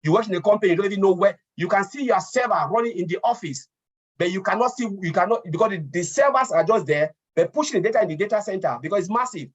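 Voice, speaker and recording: A man's voice: high voice, fast, breathy edge. A man on a panel explaining things, restarting and repeating himself as he goes. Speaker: panel guest. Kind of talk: casual panel chat. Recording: dull lo-fi sound.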